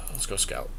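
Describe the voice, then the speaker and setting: A man's voice: bright, forceful tone, a little hiss or hum. A man co-hosting a podcast, casually talking through card game picks with a friend in an informal, sweary way. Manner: informal, profane. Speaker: podcast co-host.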